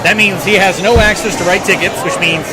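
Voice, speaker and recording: Loud crowd noise and music surround a man with a high-pitched voice. He tells a casual story in an informal, conversational style, acting out both sides of exchanges. It sounds recorded out in a busy public place. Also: fairly even intonation, a little fast. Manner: acts out dialogue, informal. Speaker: podcast co-host telling an anecdote. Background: loud crowd chatter and music.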